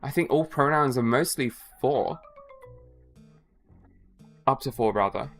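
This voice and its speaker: A man's voice: mid-range voice, unhurried, fairly bright tone, soft background music. A young man chatting on a livestream, tired after a bad night's sleep, rambling and joking with lots of filler words. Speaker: streamer. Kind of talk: casual livestream chat.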